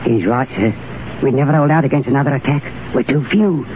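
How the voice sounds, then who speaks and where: An actor playing a tense, frank legionnaire in a 1930s radio drama, urgent and plain-spoken. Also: male voice, mid-range voice, brisk, natural voice. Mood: tense, grim. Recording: narrow, slightly hissy 1930s radio recording.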